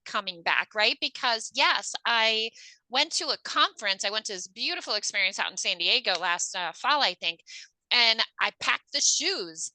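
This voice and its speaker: Very bright-voiced woman podcast host. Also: fairly high pitch, dry recording, low-quality recording. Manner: rambling, self-aware storytelling. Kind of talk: casual interview chat.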